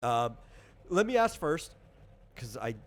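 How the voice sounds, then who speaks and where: A man's voice: mid-range voice, very animated, slow. A man acting as game master, casually explaining tabletop rules in a hesitant, thinking-aloud way.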